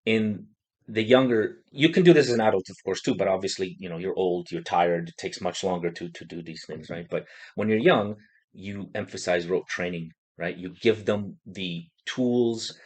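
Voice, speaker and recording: A man with a low, husky voice hosts a relaxed podcast discussion. He rambles through his points with frequent restarts and keeps checking in with "right?". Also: normal speed. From Poland. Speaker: podcast host. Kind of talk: casual discussion.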